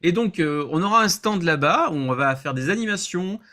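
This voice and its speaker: A man's voice: high voice, lively intonation, normal speed, pushed and bright. A man chatting casually as a show regular, explaining things in a loose, self-correcting way. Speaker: show regular announcing upcoming appearances. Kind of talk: casual chat.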